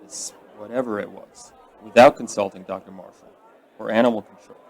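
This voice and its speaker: A middle-aged man acting in a radio drama as a stern but fatherly investigator, measured and probing. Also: fairly low pitch, steady tone, faint music behind, close to an everyday voice, rough recording.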